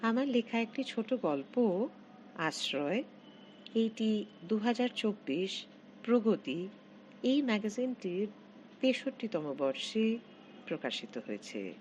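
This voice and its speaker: A middle-aged woman narrates a short story as its author. Her delivery is unhurried and expressive, with pitch that rises and falls widely. A faint noise sits behind the voice. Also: rough recording. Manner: expressive, measured recitation. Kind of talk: scripted literary story narration.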